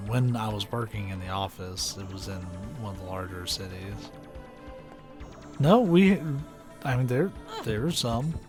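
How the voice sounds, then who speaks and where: A man from Kentucky chatting casually while playing a game on stream, rambling with lots of fillers and restarts. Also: on the low side. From Kentucky, USA.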